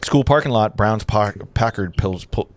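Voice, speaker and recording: A man's voice: lowish voice, moderate pace, slight background noise. A man narrating stage directions at an informal script read, casual and stumbling a little over the text.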